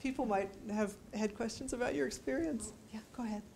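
A woman's voice: a little high, brisk, creaky, dark tone. A middle-aged woman speaking informally to a room as she leads questions, often restarting her phrases. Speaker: speaker leading a talk and question session. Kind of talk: informal public talk, taking questions. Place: indoor meeting room with audience. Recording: room ambience, some background noise.

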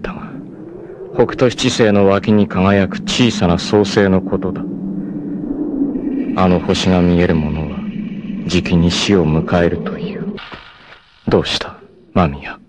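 A man voicing a proud, grim, long-haired male martial artist in a 1980s anime, speaking intensely and often bursting into shouts. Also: low voice, steady tone, a little slow, pauses often, background noise. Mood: somber. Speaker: voice actor.

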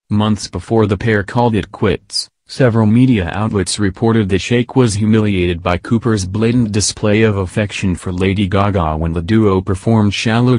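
A man narrating a scripted celebrity gossip piece in a steady, even read. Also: on the low side, moderate pace, no echo.

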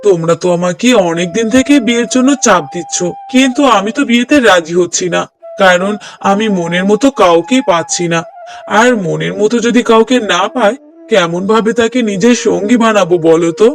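A man's voice: high voice, brisk. A man playing an earnest, respectful young bachelor son in a cartoon drama, speaking politely. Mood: earnest, hopeful.

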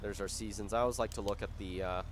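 Hoarse young man rambling over gameplay. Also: lowish voice, fairly even intonation, fast, a little hiss or hum. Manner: rambling, full of fillers and restarts. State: hoarse, losing his voice from a sore throat. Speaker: gaming YouTuber. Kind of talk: casual gameplay commentary. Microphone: desktop microphone.

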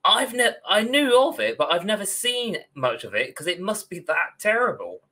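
A man's voice: high-pitched, big pitch swings, moderate pace, sharp tone. A young man co-hosting a podcast, giving his opinions in casual discussion over a video call. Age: young adult. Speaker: podcast co-host. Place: small room at home, on a video call.

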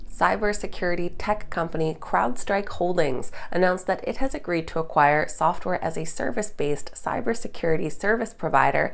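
A woman in her thirties, slightly stuffed up from a cold, reading a brisk, upbeat scripted morning market update as host in a studio. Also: on the low side, gravelly. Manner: brisk, upbeat presenter delivery. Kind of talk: scripted market news read. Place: studio, green screen backdrop.

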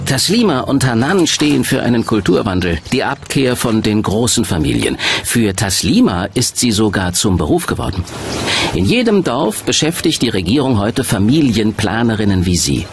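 A man's voice: fairly low pitch, very animated, moderate pace, noisy background. A man voicing a statistician presenter in a scripted documentary voice-over, addressing the audience directly with rhetorical questions. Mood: explanatory, engaging. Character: male statistician presenting population facts, eager explainer. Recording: music behind the voice. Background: background music.

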